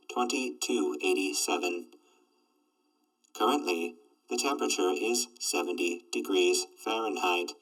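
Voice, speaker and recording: A man's voice: on the low side, a bit bright, recorded from a distance, poor audio. A man playing an emotionless robot that announces reports in a clipped, mechanical manner for an audio drama.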